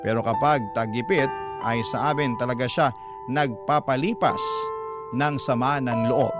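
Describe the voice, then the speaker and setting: Casting: male Filipino radio storyteller, mid-pitched, earnest scripted narration, loud music bed underneath. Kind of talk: scripted emotional first-person narration. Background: prominent background music.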